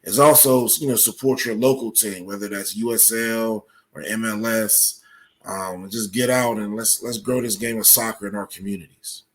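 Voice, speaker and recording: A man speaking as a podcast guest, explaining at length in a halting, deliberate way with many filled pauses. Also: on the low side, steady tone. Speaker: podcast guest, soccer coach. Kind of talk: conversational interview, explaining at length.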